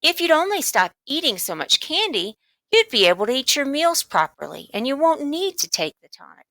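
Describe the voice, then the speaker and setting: A woman's voice: sits high, sing-song, moderate pace, sharp tone, dry recording, pitched above the performer's own voice, full character voice. A woman voicing a doting but exasperated mother in a children's storybook, with a sing-song, coaxing manner. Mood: coaxing, chiding.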